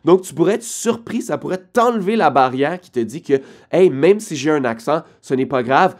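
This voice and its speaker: A man's voice: on the high side, expressive, normal speed. A man with a Québécois French accent, casually addressing viewers as a vlogger with direct, emphatic advice. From Quebec, Canada. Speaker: online business creator.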